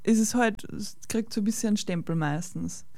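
A woman's voice: medium pitch, fairly quick, mellow, dry recording, studio quality. An Austrian woman with a mild Austrian German accent, hosting a casual talk and repeating words as she searches for them. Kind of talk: casual conversation.

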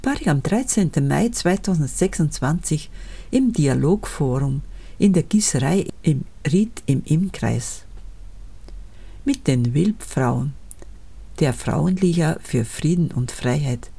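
A woman presenter reads a prepared introduction. Her delivery is very slow, and her tone is dark and husky. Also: low voice. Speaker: presenter introducing a recorded lecture. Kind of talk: scripted introduction, read aloud.

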